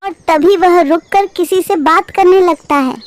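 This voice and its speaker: A woman narrating an animated moral story in a scripted storytelling style. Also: very high-pitched, a little slow, dry recording.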